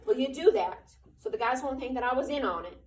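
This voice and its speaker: A woman's voice: sits high, animated, brisk, a little hiss or hum, pitched above the performer's own voice. A woman reading as a weary, earnest working man. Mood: urgent. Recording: roomy, from far off.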